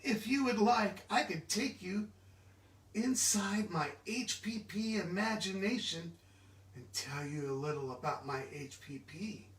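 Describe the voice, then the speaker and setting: A man giving an animated, expressive children's storybook read-aloud, engaging his listeners directly. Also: high-pitched, a little slow. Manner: expressive, interactive, asks listeners questions. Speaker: children's book reader. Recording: echoey room, distant mic.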